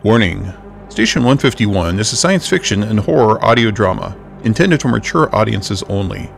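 A man's voice: lowish voice, animated, moderate pace, vocal fry. A man reading a scripted podcast introduction as the announcer. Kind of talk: scripted narration. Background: music.

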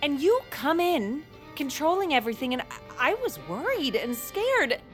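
An actress playing an anxious, wounded grown daughter in an audio drama, halting and pleading. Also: female voice, sits high, big pitch swings, soft background music. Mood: defensive, hurt. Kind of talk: audio fiction drama acting.

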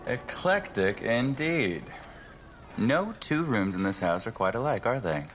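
An actor playing a blunt, irritable, wisecracking visitor in an audio drama, sardonic and impatient. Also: male voice, medium pitch, very animated, unhurried, fairly bright tone, soft background music. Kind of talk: naturalistic audio drama acting. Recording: rain and ambience behind the voice.

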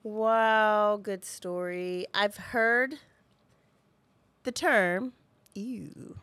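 A woman's voice: medium pitch, extremely slow, a bit bright, clean tone, dry recording. A woman co-hosting a podcast in casual, informal chat, addressing listeners in a folksy way. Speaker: podcast co-host.

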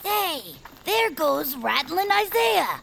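Brief: a plotting superfan commander, high lively voice with heavy gravel. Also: female voice, takes it slowly, slight background noise, exaggerated voice. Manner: eager, persuasive, scheming. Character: eager young female self-styled space commander, scheming superfan. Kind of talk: audio drama acting.

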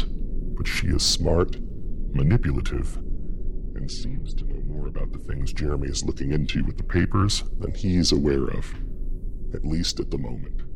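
An actor playing a knowing, ominous narrator of a horror audio drama, delivering scripted narration in a measured, deliberate way. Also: male voice, extremely low pitch, gravelly, noisy background, heavily acted. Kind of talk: scripted narration, season recap.

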